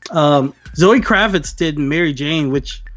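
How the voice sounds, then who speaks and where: A man's voice: a little high, slow, fairly bright tone, dull audio, faint music behind. A man co-hosting a podcast, giving a casual, opinionated film review and slipping into acted-out quotes. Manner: acts out imagined quotes while talking. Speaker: podcast co-host reviewing films.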